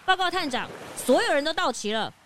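A woman voicing an eager, cheeky young detective's assistant in a children's audio drama, inquisitive and excitable. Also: high voice, brisk, a little hiss or hum. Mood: excited, accusing.

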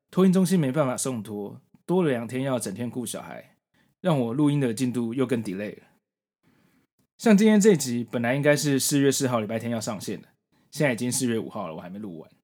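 Male podcast host, forties, Taiwanese Mandarin accent. Conversational reviewer with a very varied, lively pitch. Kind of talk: solo manga review, conversational narration.

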